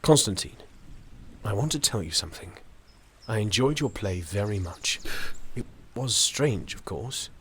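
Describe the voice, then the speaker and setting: A kindly middle-aged gentleman in a radio play. His voice is husky and moves expressively, with theatrical, musing pauses that give him a reflective, philosophical air. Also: lowish voice, lively intonation, full character voice. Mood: earnest, encouraging. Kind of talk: theatrical audio drama acting.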